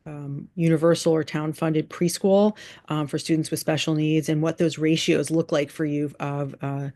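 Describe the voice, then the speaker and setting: A woman giving informal remarks at a meeting as a school board chair, thinking aloud in a halting way and repeating phrases, on a video call. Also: deep voice, fairly even intonation, warm tone.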